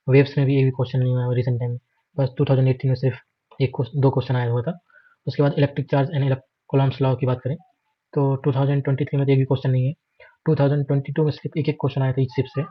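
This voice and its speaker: An Indian man speaks as an exam prep instructor in a quick, monotone delivery. He runs through lists in an informal, matter-of-fact way, dropping in English terms. The recording is slightly muffled and lo-fi. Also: mid-range voice, no echo. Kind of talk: informal screen walkthrough lesson.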